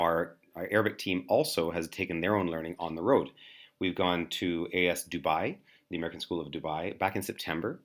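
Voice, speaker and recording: A man, a school administrator, giving an earnest presentation to parents, with frequent fillers and restarts. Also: low voice.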